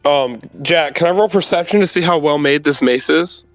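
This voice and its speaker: A man's voice: on the high side, moderate pace, no room sound, soft background music. A man chatting casually as a player in a tabletop game, hesitantly describing what his character does.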